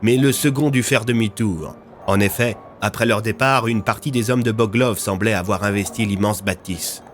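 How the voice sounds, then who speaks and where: A man's voice: fairly low pitch, moderate pace, soft background music. A man narrating a scripted audio fiction as its storyteller.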